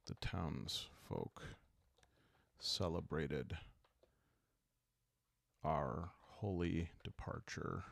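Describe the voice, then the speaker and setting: A man speaking softly and calmly in ASMR style, improvising a story aloud as he hosts a solo roleplaying game, thinking out loud with long pauses. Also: very deep voice, creaky, dry recording. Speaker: host playing a solo journaling roleplaying game.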